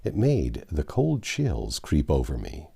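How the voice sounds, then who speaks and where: A man reading a classic novel aloud as an expressive storybook narrator. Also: extremely low pitch, takes it slowly, gravelly, slightly rough. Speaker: audiobook narrator.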